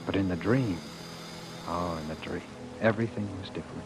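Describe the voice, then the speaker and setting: An actor playing a meek, dreamy husband who imagines himself a great leader, in earnest, dramatic old-time radio style. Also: male voice, sits low, a little fast, lightly acted. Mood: brooding, wistful. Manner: earnest, dramatic, brooding, hesitant. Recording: vintage radio broadcast with music behind.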